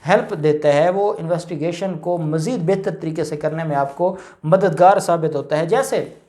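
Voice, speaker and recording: Pakistani guy, lawyer explaining stuff in an interview, kinda high voice, repeats himself, drops english legal words. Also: moderate pace, darkish tone. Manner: explanatory, repeats key phrases, mixes in English legal terms. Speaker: lawyer, guest expert. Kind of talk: informal legal explanation in question-and-answer show.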